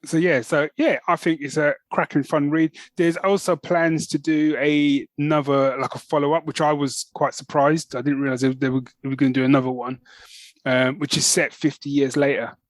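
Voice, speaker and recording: Male podcast co-host, fairly high voice, dry recording, loose enthusiastic chat. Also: flat delivery, normal speed, no room sound. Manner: enthusiastic, conversational, loosely phrased. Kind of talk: casual chat about comics.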